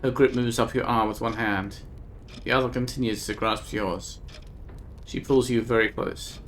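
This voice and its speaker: A man streaming live, reading an interactive fiction game aloud in measured narration, with quick remarks about typos in the text. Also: medium pitch, steady tone, a little hiss or hum.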